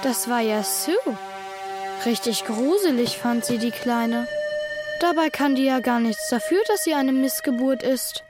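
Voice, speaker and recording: A woman's voice: on the high side, unhurried, music in the background, lightly acted. A woman playing a mocking schoolboy bully who taunts other children in a German children's radio play. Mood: cruel taunting.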